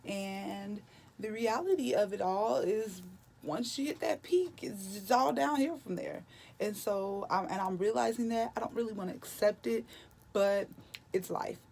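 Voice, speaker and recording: Raspy, gravelly-voiced woman in her late twenties. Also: medium pitch, measured pace, a little mellow. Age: late 20s. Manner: chatty and candid, addressing viewers as y'all. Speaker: vlogger. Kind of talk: casual morning vlog chat. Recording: music playing behind.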